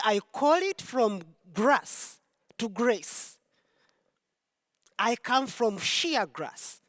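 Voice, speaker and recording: Woman, unhurried testimony speaker, dry close mic, emphatic and repetitive phrasing. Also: a little high, no room sound. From Uganda. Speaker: speaker at a Pride event. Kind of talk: personal testimony speech. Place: large concert hall stage.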